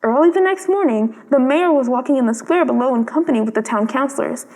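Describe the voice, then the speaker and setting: A woman's voice: sits high, lively intonation, fast talker, darkish tone. A woman reading a children's story aloud as scripted narration. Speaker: storybook reader. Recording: long hall-like reverb.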